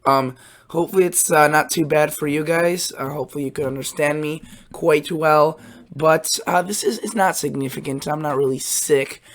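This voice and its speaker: A young man hosting a weather channel, hoarse and raspy from a cold, talking casually through his forecast with lots of fillers and restarts. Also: fairly high pitch, moderate pace. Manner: rambling, many fillers and restarts.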